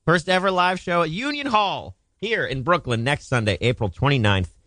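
Man, podcast host, playful, lively pitch, dry close sound. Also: moderate pace, dry recording. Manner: playful, joking, laughs often. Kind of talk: casual comedic chat.

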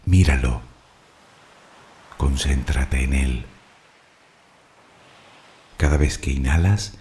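A man leading a guided meditation, speaking soothingly and deliberately in short phrases of instruction and affirmation. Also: very deep voice, dark tone, slight background noise.

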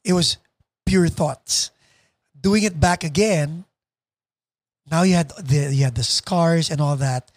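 A Filipino-accented man hosts a podcast in a fairly high voice. He interviews casually and attentively, dropping in short affirmations. The recording is dry and free of room echo. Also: slow pace, pauses often. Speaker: podcast host.

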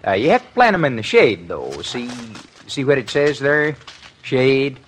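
An actor playing a homespun, sly neighbor in a classic radio comedy, with a hesitant, rambling way of talking. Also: male voice, medium pitch, wildly swooping pitch, measured pace, a little hiss or hum. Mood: friendly. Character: folksy, sly, neighborly man next door.

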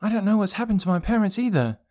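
A man's voice: fairly high pitch, very animated, a little fast, warm tone, no echo, clean studio sound, voice pushed up. A man voicing a miserable young boy in an audiobook, plaintive and blurting, scared yet defiant. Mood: anxious, curious. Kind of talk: audiobook character voice.

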